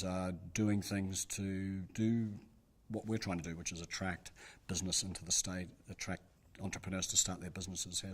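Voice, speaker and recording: A middle-aged man gives interview answers in a deep, low-pitched voice. He talks quickly and thinks aloud, hedging his points as he goes. He sounds like a business advisor weighing his words. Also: breathy edge. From New Zealand. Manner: thoughtful, hedging with frequent "I think" and "you know".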